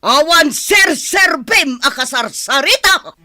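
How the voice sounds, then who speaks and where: A woman's voice: high voice, expressive, slow, sharp tone, shouting, exaggerated voice. A woman acting a stern, imperious, middle-aged wealthy mother in an Ilocano radio drama. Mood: angry, exasperated. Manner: imperious, scolding. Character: stern, domineering wealthy mother, quick to anger.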